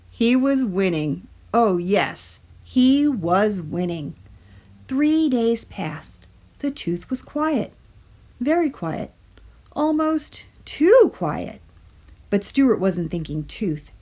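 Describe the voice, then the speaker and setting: A woman reading a children's story aloud as a storytime narrator, with dramatic pauses and drawn-out key words. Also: lowish voice, mellow. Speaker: library storytime reader. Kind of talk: expressive children's picture-book narration.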